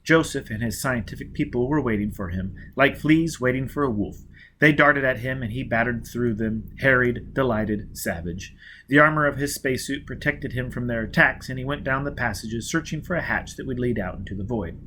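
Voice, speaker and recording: A man narrating a science fiction novel as an audiobook, with steady, dramatic pacing. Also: medium pitch, normal speed, slight background noise.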